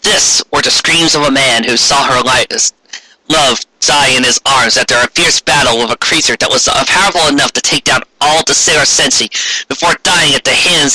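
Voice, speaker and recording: A man's voice: medium pitch, pushed and bright, breathy edge, poor audio. A man narrating fan fiction as a dramatic reading, now and then stumbling over the words.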